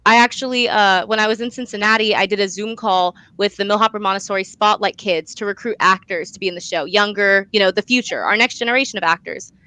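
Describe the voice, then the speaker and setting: A woman's voice: mid-range voice, quick pace, hardly any pauses, bright, forceful tone, dry recording, poor audio. A young adult woman answering in a conversational interview, earnest and emphatic, repeating phrases for stress. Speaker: guest, student actor and playwright.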